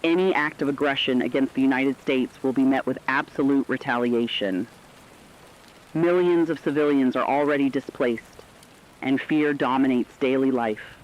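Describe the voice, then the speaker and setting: A man's voice: medium pitch, moderate pace, natural voice. A man playing a resolute war correspondent, delivering scripted dramatic news in a stern, declamatory way. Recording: dull lo-fi radio sound.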